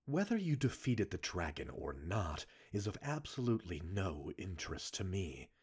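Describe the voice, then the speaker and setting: A male actor voices a stern, cold commander in a scripted ASMR roleplay. His deep, raspy voice reprimands a subordinate in formal, measured phrases, swinging widely between low restraint and forceful emphasis. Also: big pitch swings, full character voice. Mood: controlled anger.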